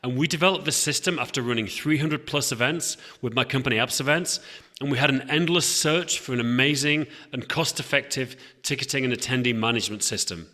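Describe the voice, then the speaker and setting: A man hosts an events podcast in an upbeat manner, repeating words as he restarts his sentences. His voice has a bright, forceful edge. It trails off in a long reverb. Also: medium pitch. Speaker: podcast host. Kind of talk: podcast intro and interview.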